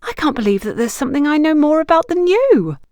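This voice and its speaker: A woman's voice: high voice, sing-song, fast talker, darkish tone, no room sound, clean studio sound, pitched above the performer's own voice. Woman voicing a playful, knowing female confidante. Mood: playful, instructive. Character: frank, teasing woman confidante giving romantic advice. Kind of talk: audiobook character dialogue.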